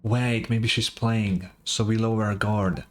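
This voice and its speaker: A man's voice: on the low side, steady tone, unhurried, a little hiss or hum. A man streaming a game, chatting informally and reacting with dramatic, joking commentary. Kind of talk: casual gameplay commentary, reading and reacting. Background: game music.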